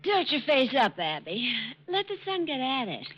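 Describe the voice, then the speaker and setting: An actress in a 1940s radio drama playing an eager, easily flattered single schoolteacher, fluttery and hesitant. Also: female voice, high voice, normal speed. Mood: relaxed. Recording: dull old radio recording.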